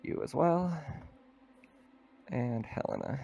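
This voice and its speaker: A man's voice: medium pitch, expressive, takes it slowly, creaky, mellow, muffled recording. A man running a tabletop roleplaying game as game master, explaining informally and a little hesitantly.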